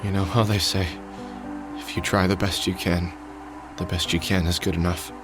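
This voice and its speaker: A brooding middle-aged man played by a male actor from a sci-fi visual novel. His voice sits low, worn and weary, with a dry sardonic edge. Loud music runs behind him. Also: little pitch movement, moderate pace, close to an everyday voice. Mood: grieving, subdued. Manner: brooding, weary, muttering to himself. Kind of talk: video game voice acting, brooding inner monologue and dialogue.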